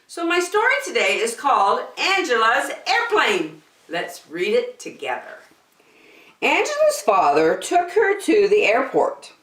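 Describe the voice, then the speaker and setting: A woman hosting story time, reading a children's book aloud in an expressive, deliberate, sing-song way. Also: a little high. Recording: echoey room.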